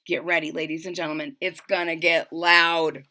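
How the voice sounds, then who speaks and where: A woman's voice: deep voice, flat delivery, normal speed, pushed and bright. A woman reading a children's story aloud expressively and dramatically, stopping to retell it and address the listeners. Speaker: storybook reader.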